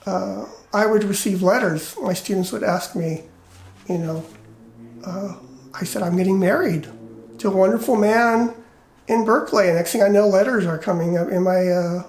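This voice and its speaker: A middle-aged American man with a light, effeminate voice, telling personal stories casually in a rambling, animated way and acting out quoted dialogue. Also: high-pitched, normal speed, gravelly, a little hiss or hum. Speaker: retired teacher. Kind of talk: casual personal storytelling and commentary. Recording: roomy, echoey indoor sound.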